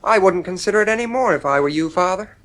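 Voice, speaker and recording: A sullen young son in an old radio drama. His voice sits fairly high, and he talks back curtly, with cool insolence and stubborn argument. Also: expressive, fast talker, a bit bright. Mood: sullen, quietly menacing. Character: defiant, coolly insolent young son, a boy. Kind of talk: 1950s radio drama acting.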